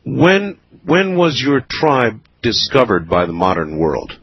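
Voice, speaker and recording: A man hosting a radio call-in show, speaking in a slow, deliberate, measured way with pauses. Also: mid-range voice, wildly swooping pitch, slightly rough, no room sound, highs cut off. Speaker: radio talk show host. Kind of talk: late-night radio call-in interview.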